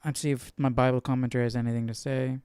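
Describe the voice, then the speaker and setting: A man reading aloud and commenting casually, thinking out loud in informal, slangy words. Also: medium pitch, level tone, quick pace, clean tone, no room sound. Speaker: host of a live Bible study stream.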